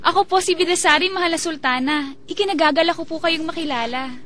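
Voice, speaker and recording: A woman playing a meek, obedient young princess in an epic drama, soft-spoken and respectful. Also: extremely high pitch, quick pace. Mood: timid, polite.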